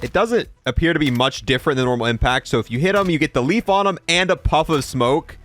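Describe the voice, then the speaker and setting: A man's voice: a little high, fast talker, a bit bright, faint music behind. A man hosting a gaming video with casual, playful gameplay commentary in an informal way.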